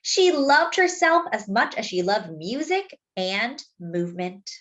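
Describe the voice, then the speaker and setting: A woman reading a children's story aloud expressively, phrasing deliberately as if to young listeners. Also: fairly high pitch, big pitch swings, fairly bright tone, lo-fi sound. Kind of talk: expressive picture-book read-aloud with asides to young listeners.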